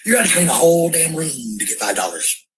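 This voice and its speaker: A woman's voice: low-pitched, sing-song, quick pace, a loud crowd. A woman arguing and ranting on a home livestream in a blunt, confrontational way, swearing freely. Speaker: livestreamer and parent. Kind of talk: heated argument and ranting to stream viewers.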